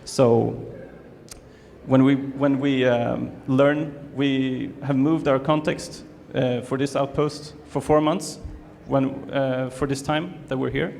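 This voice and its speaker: A man's voice: medium pitch, fairly even intonation, slow pace, gravelly, darkish tone, slight background noise. A young man from Sweden giving a student presentation, hesitant with many fillers and restarts. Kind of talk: presentation to an audience. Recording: reverberant hall, faint ambience.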